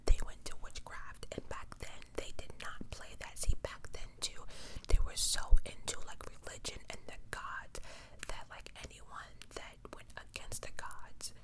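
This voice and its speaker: Whispered ASMR true crime storyteller. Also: measured pace. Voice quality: fully whispered, unvoiced. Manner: conversational, emphatic, repeats points for effect. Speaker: ASMR creator and host.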